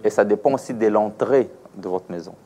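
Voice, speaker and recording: A man's voice: fairly low pitch, fairly quick, dark tone, a little hiss or hum. A man with a Congolese French accent giving didactic, explanatory interview answers as an aviation expert, using examples and rhetorical questions. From Democratic Republic of the Congo.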